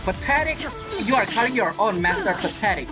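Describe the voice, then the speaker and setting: A gaming streamer giving casual, halting live commentary and chattily talking back to game characters. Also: mid-range voice, lively intonation, over music.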